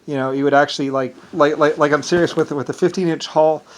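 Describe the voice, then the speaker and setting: Man, Irish accent, slightly high voice, rambling, self-assured, solo vlog monologue. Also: fairly even intonation, fast.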